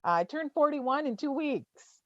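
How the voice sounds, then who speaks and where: A forty-year-old woman talks through an online town hall in a loose, gentle way, leaning on ums. Her audio is dry and close, with dull video-call sound. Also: high-pitched, expressive, unhurried. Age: 40s. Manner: informal, gentle, with many fillers.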